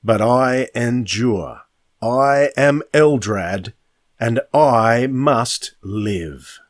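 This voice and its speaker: A man voicing a vengeful undying alien villain, declaiming slowly and portentously as he reads aloud. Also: mid-range voice, no echo, clean studio sound. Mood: ominous, defiant.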